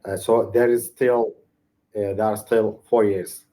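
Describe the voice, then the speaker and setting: A man's voice: on the low side, level tone, a little slow, warm tone. Hesitant young man, non-native English speaker. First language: Arabic and Sorani Kurdish. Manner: hesitant, many restarts and fillers. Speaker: English learner. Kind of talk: casual online conversation practice. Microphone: video call.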